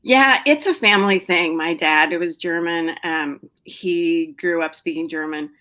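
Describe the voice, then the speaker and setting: A middle-aged woman with a low-pitched voice talks about her writing as a podcast guest. Her talk is loose and chatty, full of "um"s and restarts, with small laughs. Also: measured pace, sharp tone. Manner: chatty, lots of fillers and restarts, laughs often. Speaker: novelist guest. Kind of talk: casual interview chat.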